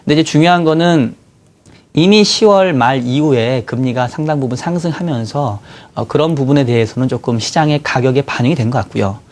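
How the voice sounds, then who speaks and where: A man with a cold-roughened voice, a bond strategy guest in a TV studio, explaining market moves in a measured way with many fillers. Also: mid-range voice, a little mellow. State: hoarse from a cold. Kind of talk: financial market analysis.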